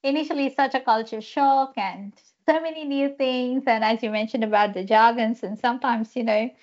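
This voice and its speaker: A woman from India joins the chat, agreeing readily and backchanneling often. Her voice sits a little high. The recording sounds dull and lo-fi. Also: normal speed. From Mumbai, India. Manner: warmly agreeing, frequent backchannels. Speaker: guest. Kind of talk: casual chat.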